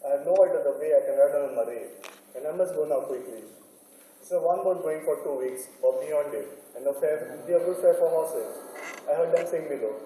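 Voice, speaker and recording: A man plays a determined young islander with a very dark, muffled tone and slightly high pitch. It is stage drama, distant in a hugely echoey hall. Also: a little fast, lightly acted. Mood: brisk. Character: determined young island son, brisk and stubborn. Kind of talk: stage play acting. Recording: very echoey hall, far mic, noisy.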